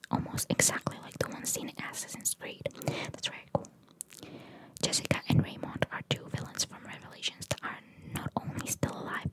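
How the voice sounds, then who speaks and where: A woman whispering in a breathy voice, reading trivia for ASMR and adding chatty, informal asides. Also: poor audio. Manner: informal, chatty, reacting to what is read. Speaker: ASMR host reading video game trivia.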